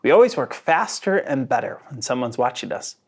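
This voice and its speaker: A man's voice: mid-range voice, big pitch swings, a little fast, breathy edge. A man voicing an eager, confident steam shovel operator in a children's storybook, upbeat and emphatic.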